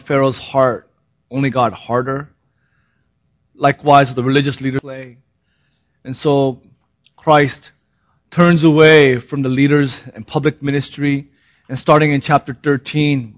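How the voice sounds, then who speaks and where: A hoarse man preaching to his congregation, his voice at an ordinary middle pitch. He starts easygoing and laughing, then settles into an earnest, solemn sermon. The recording sounds a little dull and muffled. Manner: laughing, relaxed opening turning earnest and solemn. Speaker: pastor.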